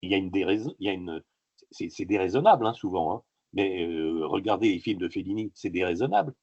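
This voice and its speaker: Male author guest, husky and low, rambling anecdotes full of hesitations, dry lo-fi sound. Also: animated, a little mellow, no room sound. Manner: halting, digressive storytelling full of filler sounds. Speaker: author being interviewed. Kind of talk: casual interview talk.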